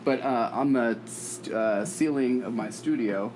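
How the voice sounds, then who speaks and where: A man's voice: medium pitch, measured pace, darkish tone. A man of thirty-one hosting a casual podcast chat, joking and irreverent, in a band's jam room. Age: 30s. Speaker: podcast host. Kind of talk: casual interview banter. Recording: noisy room recording.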